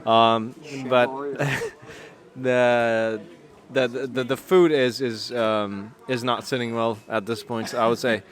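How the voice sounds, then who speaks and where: A man's voice: medium pitch, steady tone, slow pace. Rambling Danish-accented man. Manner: rambling, many fillers and restarts. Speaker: podcast co-host. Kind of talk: casual chat.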